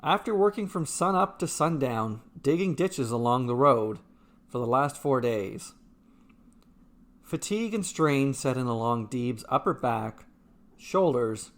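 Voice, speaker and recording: A man's voice: mid-range voice, expressive, unhurried, a bit bright. Man narrating a fantasy short story.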